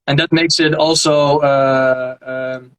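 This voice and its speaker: A young Dutch man speaking English as a second language, a guest in a casual interview, often pausing to search for words. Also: on the high side, steady tone, fairly bright tone, clean tone. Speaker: guest, history video creator. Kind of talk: casual video-call interview.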